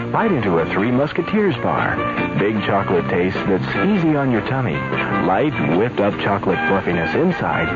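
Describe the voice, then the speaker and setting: A man reading a radio commercial in a smooth, selling way. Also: on the low side. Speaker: ad announcer. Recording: dull old radio ad over loud music.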